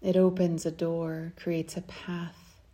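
A woman leading a guided meditation in a soft, soothing, unhurried way, at times breathy, with long pauses. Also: on the low side, level tone.

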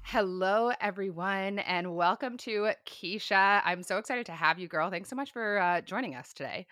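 A woman's voice: medium pitch, moderate pace, a bit bright, no echo, clean studio sound, faint music behind. A woman hosting a podcast interview, asking friendly, hesitant questions. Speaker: podcast host.

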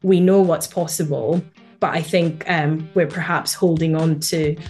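A woman in her late twenties with a Scottish accent from Edinburgh, speaking as a podcast guest in a relaxed, thoughtful way on a remote call. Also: deep voice, measured pace, faint music behind. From born in Malawi, raised in Scotland. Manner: thoughtful, with frequent fillers and light laughs. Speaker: podcast guest, quantity surveyor. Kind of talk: casual conversational interview.

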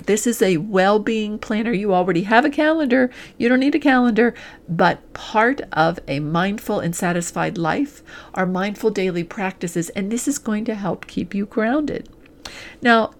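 A woman executive coach delivers a scripted promotional pitch straight to the listener, earnest and deliberate, stressing points in short listed phrases. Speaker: executive coach and podcast host.

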